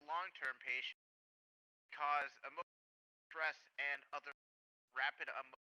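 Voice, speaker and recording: Man playing a blunt military surgeon, forceful bright voice. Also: a little high, steady tone, takes it slowly, full character voice, low-quality recording. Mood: clinical, cautioning. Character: blunt military surgeon interrogating and treating a cyborg prisoner. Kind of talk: improvised online roleplay.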